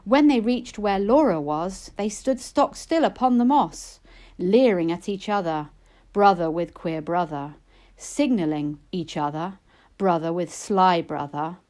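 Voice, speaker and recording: A woman reading narrative poetry expressively, in a measured storytelling manner. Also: on the low side, darkish tone. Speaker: poetry reader.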